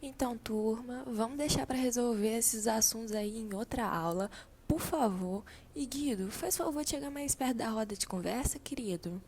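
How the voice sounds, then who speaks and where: A woman's voice: fairly high pitch, moderate pace, a little mellow, slight background noise, lightly acted. A woman playing a kindly Brazilian schoolteacher in a scripted amateur radio drama, didactic and encouraging. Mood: pleased, firm. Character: female schoolteacher, kindly, guiding a classroom discussion.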